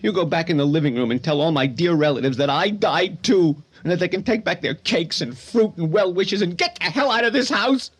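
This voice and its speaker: High-voiced, grief-stricken bitter son. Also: male voice, fairly quick, hardly any pauses, husky. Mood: bitter, defiant. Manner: anguished, stammering outbursts. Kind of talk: old-time radio drama acting.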